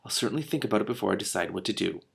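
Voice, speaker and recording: A man voicing a composed, decisive female dragon in command, measured and firm, in a storybook read-aloud. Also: fairly low pitch, expressive, creaky, a bit bright, breathy edge, heavily acted.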